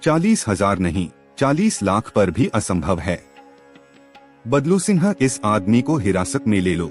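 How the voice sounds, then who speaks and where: A man's voice: lowish voice, sing-song, measured pace, faint music behind. A man voicing a righteous, unbending young police officer in a story, stern and commanding. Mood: firm refusal.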